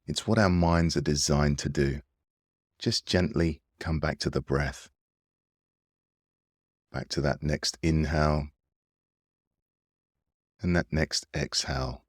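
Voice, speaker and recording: Super deep male voice, slow n gentle meditation guide, dry close sound. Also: fairly even intonation, no room sound. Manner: soothing, gentle, unhurried instruction. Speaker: meditation guide and mindfulness coach. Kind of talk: guided breathing meditation narration.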